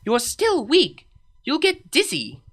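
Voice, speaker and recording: A dry, sarcastic pony princess, read by a woman delivers her remarks with a raised, bright pitch. Her tone stays sardonic and unimpressed. It is storybook-style fan fiction voicing. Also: huge pitch swings, slow pace, exaggerated voice. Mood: dry sarcasm.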